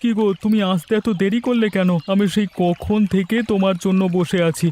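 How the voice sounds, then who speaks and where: Earnest young village sweetheart, high male voice acted by a man. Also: fairly even intonation, moderate pace, mellow, natural voice. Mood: concerned. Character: caring young village sweetheart, protective and reassuring. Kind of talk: cartoon story voice acting.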